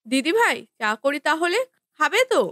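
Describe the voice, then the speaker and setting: Dutiful young wife, high pitch, gentle, soothing, obliging to elders, cartoon family drama. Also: female voice, very animated, moderate pace, dry recording, clean studio sound, heavily acted.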